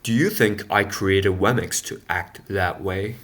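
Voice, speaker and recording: A man voicing a gentle, wise woodcarver in a storybook, calm, deep and kind, speaking slowly and tenderly. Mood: questioning. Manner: slow, deliberate, tender. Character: gentle, wise male woodcarver, fatherly maker of puppets.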